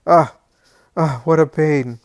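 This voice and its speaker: A man's voice: takes it slowly, no echo. A man voicing a sick old man in a senile fit of temper, cursing, accusing and complaining in a querulous voice. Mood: angry, self-pitying. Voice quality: shrill and complaining.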